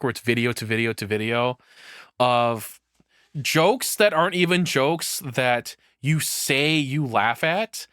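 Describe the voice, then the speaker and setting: A man's voice: medium pitch, a bit bright, no room sound, studio quality. A man giving casual reaction commentary, blunt and jaded, with some swearing. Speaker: reaction streamer.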